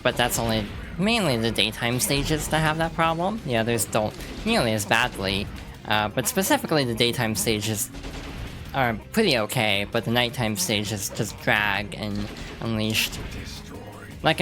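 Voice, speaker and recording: A woman streamer rambling through casual let's-play commentary, with lots of fillers and restarts. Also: extremely low pitch. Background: game music.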